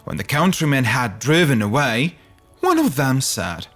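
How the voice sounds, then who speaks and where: Slow, highly animated male storyteller. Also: faint music behind. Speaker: podcast host and narrator. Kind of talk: scripted fairy tale narration.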